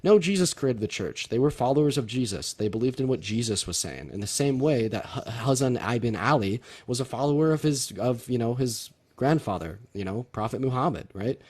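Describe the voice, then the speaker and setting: Male debate host, mid-pitched voice, combative and taunting, insistent, informal delivery. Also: animated, a little fast, few pauses, darkish tone, husky.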